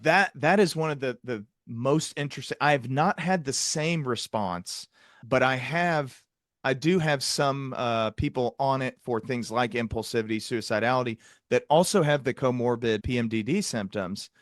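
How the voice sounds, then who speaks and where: A man's voice: mid-range voice, moderate pace, dry recording, studio quality. A man hosting a conversational clinical discussion, speaking earnestly and repeating words for emphasis. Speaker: podcast host, psychiatrist.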